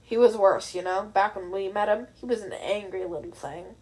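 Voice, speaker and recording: A woman reading the lines of a tired, earnest young woman in a story, thoughtful and gently persuading a friend. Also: medium pitch, steady tone, moderate pace, breathy edge, voice pushed down, lightly acted, poor audio.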